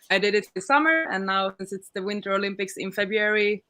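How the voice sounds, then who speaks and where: A woman with a middling pitch hosts a casual group livestream. She talks in an informal, conversational way, as in a chat among several people. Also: brisk, sharp tone. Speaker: livestream host.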